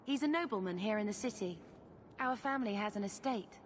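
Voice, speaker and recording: A woman's voice: mid-range voice, lively intonation, a little fast, slight background noise, close to an everyday voice. An actress playing a wry young woman refugee in a video game cutscene, dry and sarcastic.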